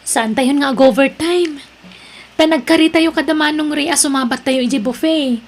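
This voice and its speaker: A woman's voice: high voice, moderate pace, a crowd in the background. A woman playing a younger family woman in an Ilocano radio drama, speaking with an Ilocano accent. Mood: casual. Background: background music.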